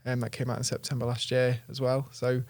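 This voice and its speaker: The chatty young guy on a podcast sofa, rattling along with a gravelly fry, self-deprecating, recorded close and dry. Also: medium pitch, completely flat delivery, brisk, a little mellow, dry recording. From North Wales and Chester, England. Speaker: podcast guest, actor. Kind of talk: casual chat.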